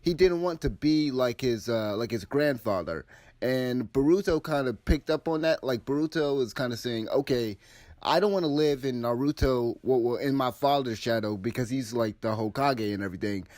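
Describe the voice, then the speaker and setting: Man with a cold, hoarse and dry. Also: mid-range voice, normal speed, a little mellow, no echo. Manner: rambling, many restarts and fillers. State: hoarse with a cold and sore throat. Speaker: anime commentary host. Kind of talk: casual video commentary.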